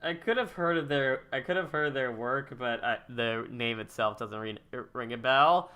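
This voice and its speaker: Bright young man chatting on a podcast. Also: medium pitch, normal speed, clean tone. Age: 20s. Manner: rambling, laughs often, trails off and restarts. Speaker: podcast host. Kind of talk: casual chat reading live chat.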